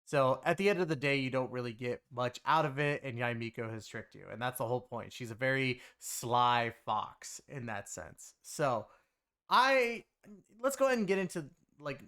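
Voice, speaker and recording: A man's voice: medium pitch, fairly bright tone, clear, resonant tone. A man giving a casual, rambling game story review in a conversational way, leaning on filler phrases. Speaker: game reviewer and content creator.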